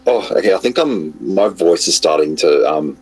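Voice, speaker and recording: Super low husky guy, hoarse from a cold, lots of ums and restarts. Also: animated, moderate pace, a little mellow, rough recording. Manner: hesitant, many fillers and restarts. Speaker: guest. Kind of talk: casual online chat.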